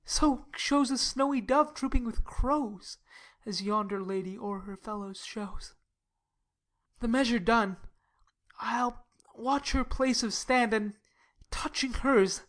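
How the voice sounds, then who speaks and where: Woman playing a slow, lovestruck young nobleman. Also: on the high side. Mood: awestruck, hushed. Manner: measured, dreamy declamation. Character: lovestruck, brooding young nobleman, earnest and poetic. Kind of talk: theatrical Shakespearean verse acting.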